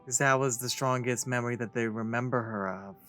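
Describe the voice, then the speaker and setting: A man giving casual let's play commentary in low-key, muttered asides. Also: steady tone, a little fast, bright, forceful tone, faint music behind.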